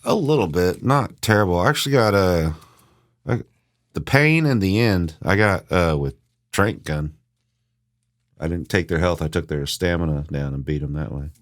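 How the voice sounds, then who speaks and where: A man's voice: low-pitched, expressive, normal speed. A man co-hosting a casual video game chat in a laid-back, terse and sweary way. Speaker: podcast co-host.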